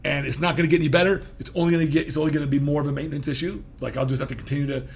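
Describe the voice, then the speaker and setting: A man's voice: on the high side, fairly even intonation, very fast, vocal fry. A man in his fifties hosting a podcast, talking in a rambling, self-interrupting way and laughing easily. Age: 50s. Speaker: podcast host. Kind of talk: casual interview talk.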